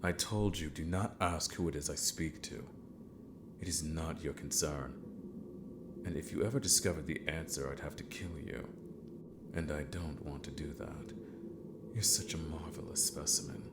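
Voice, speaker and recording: A man playing a calm, scheming villain mentor in a scripted ASMR roleplay, courteous yet menacing, with formal, deliberate speech that often sinks to a whisper. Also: background noise. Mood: softly menacing. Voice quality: very deep, gravelly. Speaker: villainous mentor training an apprentice.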